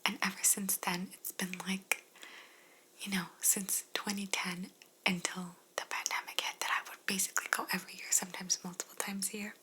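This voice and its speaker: A young Canadian woman whispering softly through an ASMR question-and-answer chat. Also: mid-range voice, level tone, moderate pace, low-quality recording. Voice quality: soft unvoiced whisper. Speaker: whispering Q&A host.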